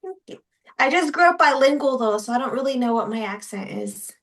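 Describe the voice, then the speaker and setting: A woman from Texas co-hosting a podcast, relaxed and chiming in with short agreements. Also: sits high, a little fast. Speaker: podcast co-host. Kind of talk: casual podcast chat.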